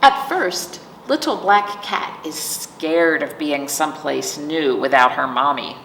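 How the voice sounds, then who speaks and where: Animated woman reading to children, roomy sound. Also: sits low, slow pace, vocal fry. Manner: simple explanations and questions addressed to children. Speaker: library storytime host reading picture books. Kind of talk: animated read-aloud for young children. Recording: roomy, distant mic, faint noise.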